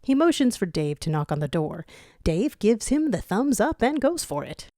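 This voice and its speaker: A woman narrating a scripted fan fiction story in an expressive storytelling style. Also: medium pitch, moderate pace, no room sound, studio quality.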